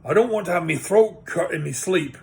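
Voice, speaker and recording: A man reading aloud and voicing a suspicious, quarrelsome male troll with a loud, rough, belligerent manner. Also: high voice, expressive, brisk, pitched above the performer's own voice.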